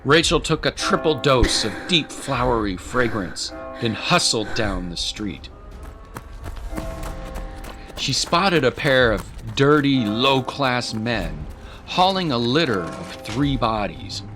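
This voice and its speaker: A man's voice: medium pitch, very animated, a little slow, music in the background. A man narrating a scripted story in a dramatic storytelling style.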